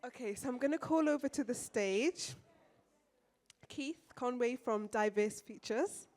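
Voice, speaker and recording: A woman's voice: a little high, measured pace, a little mellow. A woman hosting an event from the stage in an informal, somewhat flustered and apologetic way. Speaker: event host introducing panels.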